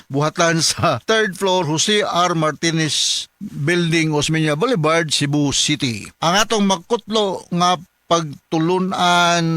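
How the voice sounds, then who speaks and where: A man's voice: on the high side, pushed and bright, no echo. A middle-aged or older man with a Cebuano Filipino accent, hosting an informal radio advice show in a chatty, rambling way. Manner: rambling, chatty, with frequent chuckles and tag questions. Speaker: radio advice host and doctor.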